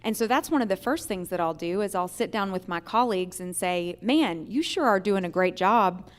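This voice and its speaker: A woman giving earnest, deliberate answers as a candidate for judge at a public forum. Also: mid-range voice, expressive.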